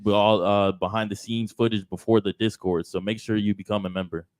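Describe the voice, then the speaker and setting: A young male co-host talks up promos on a live podcast in an upbeat, casual way. His voice is a touch low. The recording is dry and close. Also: fairly even intonation, fairly quick, no echo. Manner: upbeat, pitching promos to the chat. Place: podcast studio.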